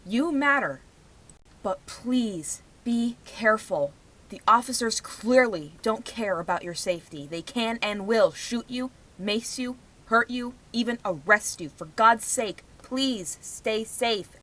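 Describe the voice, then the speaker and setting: A woman's voice: fairly high pitch, lively intonation, slow, fairly bright tone. A young adult woman giving rambling personal commentary in a vlog, speaking in an emphatic, outspoken way. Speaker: vlogger.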